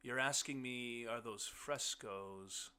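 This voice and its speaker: An uneasy, dry husband, voiced by a man reading aloud explains things haltingly and casually. His voice is very bright and forceful, an awkward host in a storybook. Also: medium pitch, smooth, voice pushed up. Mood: uncertain, probing. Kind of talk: audiobook character dialogue.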